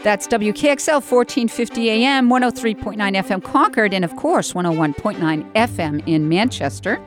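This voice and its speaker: A woman's voice: medium pitch, lively intonation, moderate pace, over music. A woman hosting a chatty local radio show, talking informally in an effusive, exclaiming, encouraging way. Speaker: radio talk show host. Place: radio studio.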